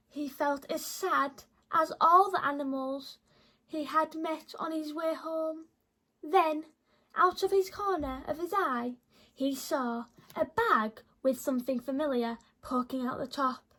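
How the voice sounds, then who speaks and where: Bright, high-voiced woman reading a storybook. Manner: expressive, teacherly. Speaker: children's story reader. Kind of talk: expressive picture-book read-aloud for young children.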